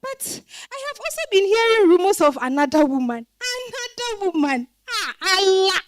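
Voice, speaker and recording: A woman's voice: very high-pitched, big pitch swings, normal speed. A woman with a Ghanaian accent playing a bitter, wronged wife lamenting in exclamations in a dramatized stage reading. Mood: aggrieved, despairing. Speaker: stage reader playing a character.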